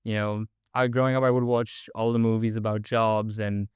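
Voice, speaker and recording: A man's voice: fairly low pitch, little pitch movement, normal speed, clean tone, no echo, studio quality. A young man from India, chatting eagerly as a podcast guest and using lots of "like" and "um". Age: young adult. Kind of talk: casual interview storytelling.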